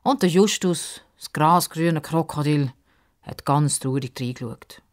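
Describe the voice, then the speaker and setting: A woman's voice: low voice, a little slow. A woman with a Swiss German accent narrating a scripted story for children as a storyteller.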